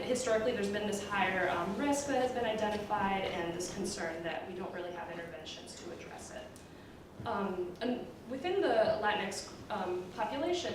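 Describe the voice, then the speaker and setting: A woman researcher giving an academic lecture with slides in a semi-conversational, explanatory way, with frequent ums and you-knows. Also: mid-range voice. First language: bilingual, Puerto Rican background. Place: indoor lecture room. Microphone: distant room microphone. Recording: roomy echo, distant mic, clear background noise.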